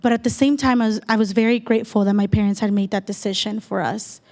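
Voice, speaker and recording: A woman's voice: mid-range voice, steady tone, fast, a little mellow. A woman in her twenties from El Salvador, a native Spanish speaker, tells an audience her life story in an earnest, reflective way with frequent ums. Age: 20s.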